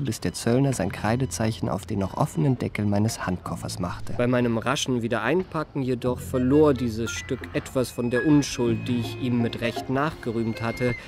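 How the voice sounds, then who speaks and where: An actor narrating a German radio play as an urbane confidence trickster recalling his youth, in measured, ornate, wryly genteel phrases. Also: medium pitch, gravelly, close to an everyday voice.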